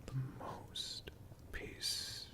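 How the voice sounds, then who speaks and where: Whispering ASMR storyteller of unclear sex, extremely slow delivery, breathy and hushed, dramatic pauses between phrases. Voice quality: breathy, mostly unvoiced whisper.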